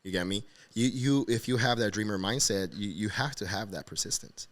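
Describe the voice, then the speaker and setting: A man's voice: lowish voice, a little fast. A man from Honduras hosting a podcast, talking in a laid-back, motivational way and often checking in with "you get me". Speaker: podcast host. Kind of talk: casual interview chat.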